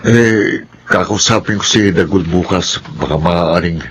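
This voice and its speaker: A man's voice: sits low, unhurried, slight background noise. An actor playing a remorseful, struggling driver and father in a radio drama, apologetic and weary. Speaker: narrator and lead.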